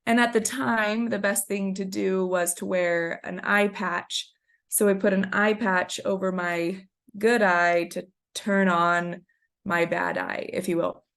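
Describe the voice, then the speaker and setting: Woman, 30s, webinar presenter, warm chatty storyteller, gravelly fry, raspy from a cold. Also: medium pitch, fairly even intonation, slow pace. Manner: chatty, storytelling, frequent ums. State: getting over a cold, a little scratchy. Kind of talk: conversational presentation.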